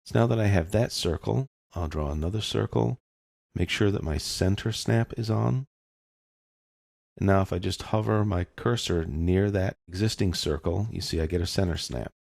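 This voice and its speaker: A man talks through a software lesson in a very low voice. There is plenty of fry in it, and the sound is dry and close. He explains each step informally, as an instructor would. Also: moderate pace, no room sound. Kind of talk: step-by-step screencast lesson, casual.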